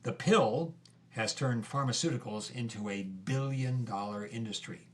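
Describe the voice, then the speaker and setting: A man's voice: mid-range voice, a little slow. A man telling a story in a conversational documentary style.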